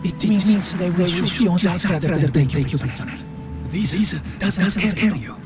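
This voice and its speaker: An actor playing an anxious Italian resistance partisan in a war game, with an Italian accent and halting, repeating speech. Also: male voice, high voice, over music, close to an everyday voice. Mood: uneasy, somber. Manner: halting, repeats his first words. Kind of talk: video game cutscene acting.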